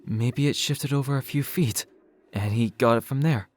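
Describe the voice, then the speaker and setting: A man's voice: medium pitch, normal speed, a little mellow, lightly acted. A man playing an anxious, earnest teenage schoolboy in an anime game fan dub, hesitant and prone to outbursts. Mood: hesitant, puzzled.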